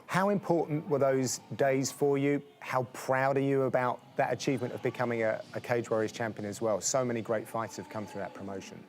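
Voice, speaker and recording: A man's voice: mid-range voice, fairly even intonation, a little fast, few pauses. A man interviewing a fighter, a commentator asking friendly, enthusiastic questions.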